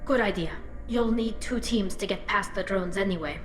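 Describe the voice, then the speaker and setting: A woman's voice: mid-range voice, moderate pace, reverberant, faint music behind, natural voice. A woman playing a firm alien squad leader. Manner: firm, commanding, earnest.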